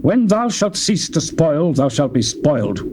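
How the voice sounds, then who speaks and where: A man reading scripture aloud in a solemn, declamatory manner, with wide swings in pitch. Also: big pitch swings, a little slow. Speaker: Bible reader. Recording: loud background noise.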